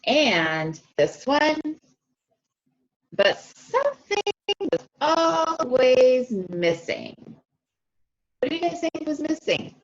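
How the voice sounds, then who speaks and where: A woman reads aloud for children in an unhurried, very animated way. She stretches important words and talks directly to her young listeners, asking them questions. The recording is a little muffled and lo-fi. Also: high-pitched. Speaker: speech-language pathologist reading to children.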